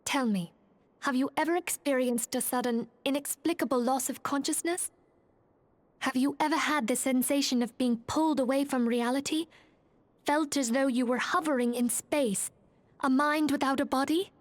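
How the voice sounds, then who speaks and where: A woman playing a poised, gracious leader of a secret order in a fantasy game, speaking in calm, formal, measured phrases. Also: high voice, no echo, lightly acted. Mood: solemn, explaining. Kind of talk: scripted fantasy game acting.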